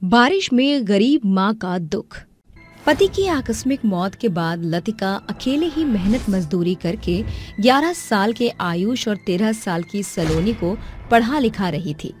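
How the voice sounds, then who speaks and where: A woman's voice: mid-range voice, expressive, unhurried, a little mellow, faint music behind. A woman narrating a scripted story in a dramatic storytelling style.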